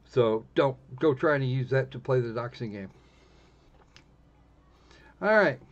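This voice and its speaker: A man giving casual, snarky live commentary on a game, blunt and halting, often restarting his phrases. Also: mid-range voice, animated. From United States. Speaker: live streamer playing a visual novel. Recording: dull, lo-fi stream audio.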